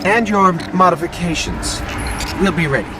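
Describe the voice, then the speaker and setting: An actor playing a small, loyal robot companion, anxious and wry, with a quippy, inquisitive way of talking in a video game. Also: male voice, high-pitched, animated, moderate pace, heavy background noise. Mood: nervous. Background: loud music.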